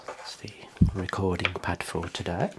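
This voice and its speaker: A man speaking softly and breathily, often dropping into a whisper, playing an eye clinician in a gentle, reassuring ASMR role play. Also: sits low, little pitch movement, unhurried. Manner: gentle, procedural, reassuring instructions.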